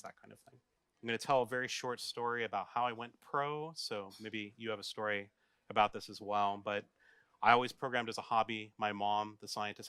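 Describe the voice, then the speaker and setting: An American man, a software developer, giving a casual, joking conference talk and putting questions to his audience. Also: lowish voice, clean tone, dry recording. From United States. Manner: chatty, jokey, asks the audience questions. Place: office meeting room, live audience.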